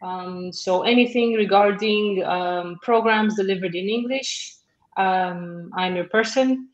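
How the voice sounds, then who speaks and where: A woman's voice: medium pitch, slow pace. A young woman from Romania, an academic advisor on a video call from her office, speaking in a friendly, hesitant way with fillers. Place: office, indoors. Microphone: laptop webcam on video call. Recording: echoey office, dull webcam sound.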